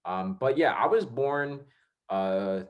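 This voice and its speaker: A man's voice: mid-range voice, animated, slow pace, lo-fi sound. A young man born in Vietnam, hosting a casual podcast chat in an easygoing, self-mocking way. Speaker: podcast host.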